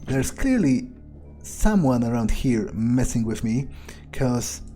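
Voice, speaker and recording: A man playing a foul-mouthed, sardonic vampire, musing aloud with wry, hesitant pauses as he plays a video game. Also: mid-range voice, sing-song, warm tone, faint music behind. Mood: menacing, annoyed. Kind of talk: in-character playthrough narration, musing aloud.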